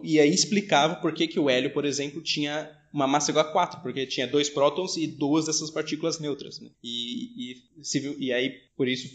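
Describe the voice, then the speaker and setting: A man co-hosting a podcast, explaining physics history in casual chat with many restarts. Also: a little high. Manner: fast, many restarts and "né?" tags. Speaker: podcast co-host.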